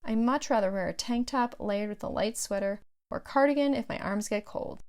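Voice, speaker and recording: A middle-aged woman with a slightly high voice reads scripted audiobook narration as the author. Her delivery is measured, and each phrase is set out clearly. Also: darkish tone.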